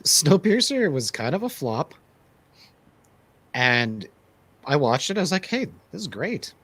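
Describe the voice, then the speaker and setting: A man's voice: on the high side, big pitch swings, measured pace, fairly bright tone, slightly rough. A man in a casual, opinionated film review chat, repeating his phrases for emphasis. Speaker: movie review panelist.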